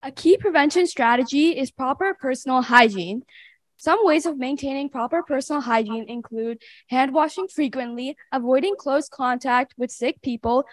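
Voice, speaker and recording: A teenage girl from New Jersey briskly reading a list of hygiene tips in a student presentation. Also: high-pitched, dry recording. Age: teens.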